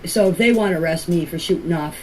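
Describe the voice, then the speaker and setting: A woman's voice: on the low side, fast. An older woman talking casually in rambling, plain-spoken voice messages, often repeating herself. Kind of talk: casual personal voice messages to a group chat. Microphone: phone voice messages. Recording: noisy amateur voice message.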